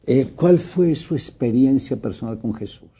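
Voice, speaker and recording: Man asking quick direct questions, dark husky voice. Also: medium pitch, expressive. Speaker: interviewer. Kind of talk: interview questions.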